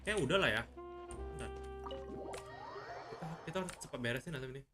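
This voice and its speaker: A man's voice: medium pitch, expressive, very fast, over loud music. A man with an Indonesian accent giving casual, muttered livestream commentary on a game, often repeating himself. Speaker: game streamer.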